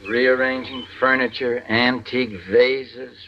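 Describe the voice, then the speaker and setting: An actor playing an exasperated, wry suburban husband with a hesitant manner in a 1940s radio sitcom. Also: male voice, medium pitch, little pitch movement, slow, faint music behind, lightly acted. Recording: muffled vintage radio broadcast.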